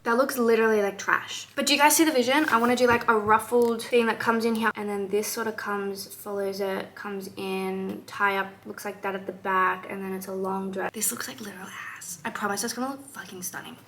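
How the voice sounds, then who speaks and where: A young woman chatting through a sewing project, excitable and full of "like" fillers, swearing now and then. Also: medium pitch, fairly quick, noisy background. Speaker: sewing vlogger. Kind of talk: casual sewing vlog chat.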